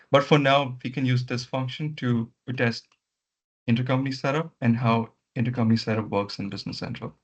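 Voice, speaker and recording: Muffled man leading a software walkthrough. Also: mid-range voice. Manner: instructional, repeats words and instructions. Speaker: software trainer leading a session. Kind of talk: step-by-step online software walkthrough. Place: online meeting call. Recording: muffled lo-fi online meeting audio.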